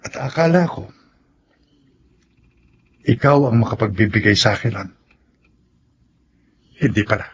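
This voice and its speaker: A man's voice: lowish voice, animated, a little slow, pauses often. A man playing an earnest, emotional young man in a Tagalog radio drama. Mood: apologetic, regretful. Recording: muffled lo-fi with clear noise.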